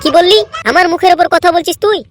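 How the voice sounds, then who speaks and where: A performer voicing a quarrelsome, excitable young lad in a comedy cartoon, arguing. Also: very high-pitched, fast, background noise. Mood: pushy. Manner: argumentative, excitable. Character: scrappy, quarrelsome young gang and club lads. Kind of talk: Bangla comedy cartoon dubbing.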